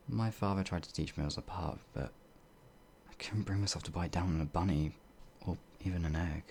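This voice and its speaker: A man voicing a shy, gentle werewolf in an ASMR audio roleplay, hesitant and halting, with a breathy, gravelly voice that often drops toward a whisper. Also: low voice, a little hiss or hum, heavily acted. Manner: hesitant, timid, halting, with sighs and trailing phrases. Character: shy, gentle, bumbling pacifist male werewolf, older than he looks.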